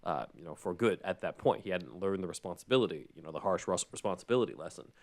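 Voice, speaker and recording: A man's voice: low-pitched, expressive, quick pace. A man hosting a podcast, explaining and recapping in a casual way with frequent asides. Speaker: podcast host.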